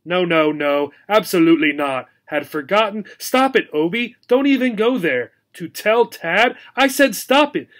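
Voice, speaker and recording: A man voicing a small female pet gerbil from a storybook, anxious and excitable, exclaiming and scolding herself. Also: high-pitched, unhurried, hardly any pauses. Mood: anxious, self-scolding.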